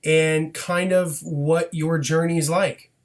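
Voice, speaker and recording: A man's voice: on the high side, fairly even intonation, slow. Upbeat man hosting a podcast. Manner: upbeat, encouraging. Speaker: podcast host. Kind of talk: interview hosting.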